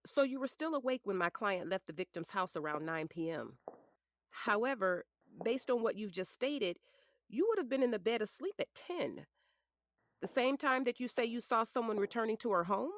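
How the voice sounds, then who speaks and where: Female defense lawyer, played by an actress, shrewd and pointed, expressive pitch, dry studio sound, courtroom drama. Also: normal speed, no echo. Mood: probing, sly. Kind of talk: audio drama acting, courtroom questioning.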